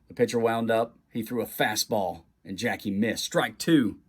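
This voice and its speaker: A man reading a children's story aloud as a guest reader, in an expressive way that acts out the dialogue. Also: fairly low pitch, moderate pace, slightly rough.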